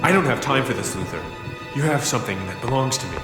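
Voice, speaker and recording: A man's voice: medium pitch, lively intonation, quick pace, lightly acted. An actor playing a stern, righteous, defiant male superhero in a video game. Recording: echoey, loud music behind.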